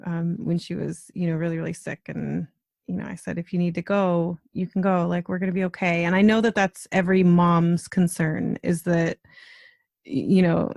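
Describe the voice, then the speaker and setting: A woman's voice: on the low side, vocal fry, darkish tone, dry recording, clean studio sound. A woman hosting a podcast, speaking in a reflective, conversational way with frequent fillers. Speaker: podcast host.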